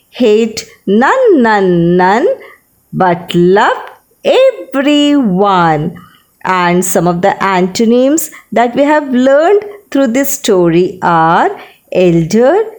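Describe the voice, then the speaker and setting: A woman with an Indian accent narrating a scripted bedtime story for children in a deliberate, teacherly manner. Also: mid-range voice, a little mellow.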